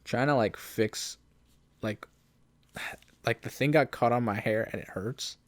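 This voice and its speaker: Young Canadian podcast co-host, wry and rambling, quick to laugh. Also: male voice, mid-range voice. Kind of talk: casual chat.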